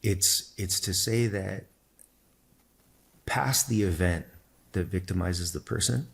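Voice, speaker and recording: A man whose first language is Spanish, a podcast guest thoughtfully telling his own story in a measured, explanatory way. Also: sits low, creaky, a bit bright, breathy edge. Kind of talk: reflective personal storytelling in conversation.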